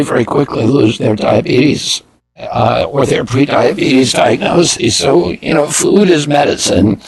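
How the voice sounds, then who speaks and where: A man with a gravelly, husky voice answers interview questions at an unhurried pace. He stops and restarts often, repeating words as he goes. The sound is dry and close. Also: on the high side, no room sound, rough recording. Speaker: guest.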